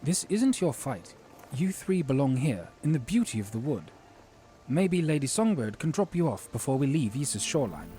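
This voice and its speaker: A man's voice: mid-range voice, big pitch swings, normal speed, dark tone, soft background music, natural voice. A man playing an earnest, courteous fantasy hero and troubled leader, speaking formally and solemnly in an audio drama. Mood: grave, urging.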